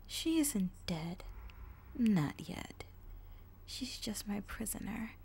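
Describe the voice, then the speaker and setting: A woman voicing a sinister dark female pony spirit, a gloating villain who talks in a slow, taunting, hissing whisper. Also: mid-range voice, animated, vocal fry, husky, exaggerated voice. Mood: menacing.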